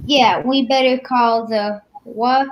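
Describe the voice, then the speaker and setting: A woman playing a suspicious masked stranger in an old-time radio comedy, insistent and gruff. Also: on the high side, fairly even intonation, takes it slowly. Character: suspicious costumed man in a mask. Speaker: radio play performer.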